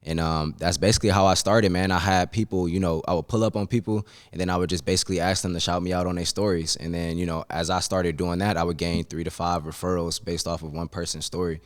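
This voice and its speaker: A young man from Cleveland in his twenties, chatting as a podcast guest. His voice sits very low and comes across smooth and clear. He talks in a relaxed, slangy way, filling pauses with ums, and the sound is dry and close. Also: no room sound. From Cleveland, Ohio. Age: 20s. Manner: laid-back, slangy, frequent ums. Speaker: podcast guest, business owner. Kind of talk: casual interview chat.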